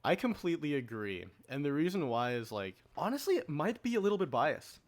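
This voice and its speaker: A man's voice: fairly high pitch, very animated, a little fast. A man co-hosting a podcast, talking informally in a casual panel discussion and explaining his views. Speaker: podcast co-host.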